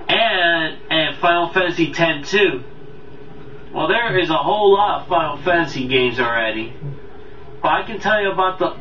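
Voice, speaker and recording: A man with a forceful, bright voice hosts an unscripted review, addressing viewers casually. He rambles and halts as he goes. The sound is echoey and a little distant from the mic. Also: fairly high pitch. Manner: rambling, halting, often losing track. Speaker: video countdown host and reviewer. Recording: roomy, distant, dull mic, faint noise.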